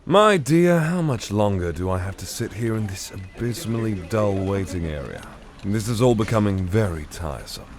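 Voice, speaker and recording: An actor playing a pompous, impatient gentleman thief in an audio drama, drawling his complaints. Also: on the low side, animated, moderate pace, over music. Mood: bored, irritated.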